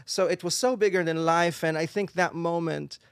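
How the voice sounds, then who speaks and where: Man from Israel, native Hebrew speaker, fairly high creaky voice, casual, rambling interview guest. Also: fairly even intonation, normal speed, no room sound, clean studio sound. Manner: rambling, searching for words. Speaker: interview guest, singer and performer. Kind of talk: casual chat.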